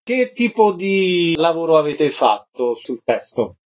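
A man's voice: a little high, very animated, slow, lo-fi sound. A man hosting a live radio programme and interviewing guests.

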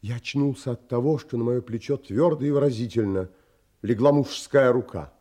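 A man playing a thoughtful traveller who recounts his wonder in measured, polite audio drama narration. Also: medium pitch, mellow, natural voice. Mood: rapt, reflective. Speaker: narrator and lead actor in an audio play.

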